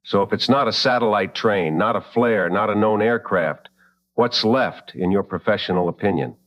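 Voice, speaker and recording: A man playing a composed late-night radio call-in host in an audio drama, measured, probing and courteous, in a slightly dull recording. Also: lowish voice, natural voice.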